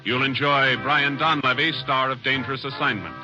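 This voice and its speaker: A man reading formal announcements in a measured, declamatory old-time radio announcer style. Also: medium pitch, dull audio. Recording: vintage radio broadcast with music.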